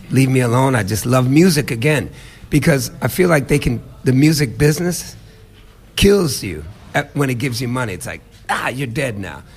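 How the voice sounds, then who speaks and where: A man's voice: mid-range voice, a little hiss or hum. A middle-aged man from the United States, a musician answering interview questions in a reflective, emphatic way. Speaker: musician guest.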